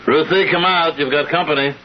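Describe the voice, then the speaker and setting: An actor playing a bitter, weary, older invalid father, speaking drily and deliberately in a 1970s radio drama. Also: fairly high pitch, natural voice. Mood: dry, resigned. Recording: muffled, noisy old radio broadcast.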